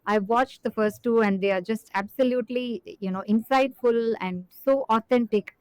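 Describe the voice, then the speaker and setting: A woman's voice: fairly high pitch, normal speed, a little mellow, clear, resonant tone, dry recording, low-quality recording. A middle-aged woman from Chennai, earnestly recalling her childhood as an interview guest, with many fillers. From Chennai, India. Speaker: guest executive. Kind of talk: reflective interview answer.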